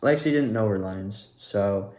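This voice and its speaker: A man's voice: on the low side, a little slow. A young man telling a story in a casual self-interview style, acting out the lines he quotes as he goes. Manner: animated retelling, acts out quoted lines. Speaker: amateur actor recounting own performance. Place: indoors at home. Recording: echoey room.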